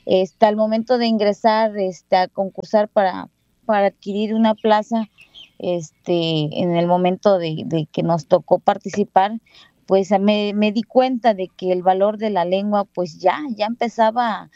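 A woman schoolteacher from Mexico speaking with a Mexican Spanish accent. Her voice sounds mellow and dark, and she pauses often with fillers and restarts as she recalls her experience. The recording is dry and somewhat muffled. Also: medium pitch, fairly even intonation, no room sound. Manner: hesitant, many fillers and restarts. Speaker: bilingual schoolteacher. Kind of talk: interview storytelling.

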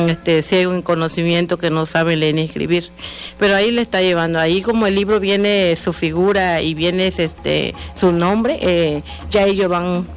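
A woman speaks in a radio studio, pausing often with fillers and restarting her sentences. Her voice sits fairly low for a woman. Music plays clearly underneath her. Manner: hesitant, many fillers and restarts. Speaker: adult literacy tutor. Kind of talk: radio interview answers.

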